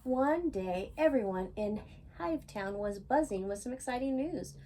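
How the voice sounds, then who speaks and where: A woman's voice: on the high side, animated, a little slow, mellow, a little hiss or hum. A woman reading a children's storybook aloud expressively, with chatty asides to the listener. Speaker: storytime reader.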